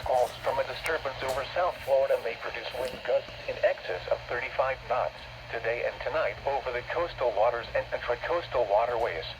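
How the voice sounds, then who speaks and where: A man's voice: mid-range voice, a little fast, hardly any pauses. A man reading a formal, impersonal scripted weather bulletin in clipped segments, heard over a weather radio broadcast. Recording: noisy radio reception, static.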